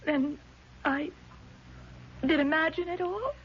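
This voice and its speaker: Indignant, rattled woman in vintage radio drama; higher-pitched, insistent, faint noise on an old broadcast. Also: takes it slowly, dull audio. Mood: shaken, confused. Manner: emphatic, indignant, faltering when doubted. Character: agitated, confused woman insisting on her memory. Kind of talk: 1970s radio drama acting.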